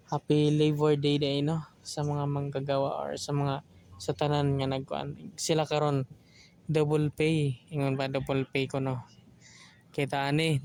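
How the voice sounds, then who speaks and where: A man's voice: fairly high pitch, darkish tone, slight background noise. A man with a Filipino accent, hosting an audio drama channel in casual, joking, rambling chat. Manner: joking, colloquial.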